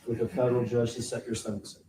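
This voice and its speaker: Male lawyer, measured questioning, mellow tone, distant roomy courtroom sound. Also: medium pitch, flat delivery, slight background noise. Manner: slow, deliberate questioning. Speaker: prosecutor. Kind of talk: courtroom direct examination. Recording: roomy courtroom audio from far off.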